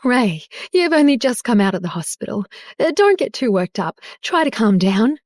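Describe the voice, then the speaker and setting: A woman voicing a frightened mother begging her husband to calm down. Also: fairly high pitch, normal speed, no echo, studio quality. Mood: pleading. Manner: pleading, placating. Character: anxious protective mother.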